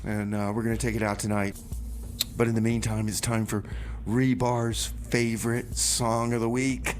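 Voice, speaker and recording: A man hosting a community radio music show in a casual, halting style with comic banter, often repeating his words. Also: mid-range voice, level tone.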